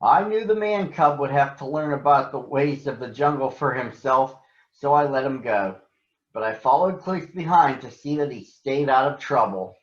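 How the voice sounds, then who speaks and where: A man voicing a protective panther who narrates a storybook jungle tale in a measured, earnest way. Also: medium pitch, close to an everyday voice. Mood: watchful. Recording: roomy echo, dull lo-fi sound.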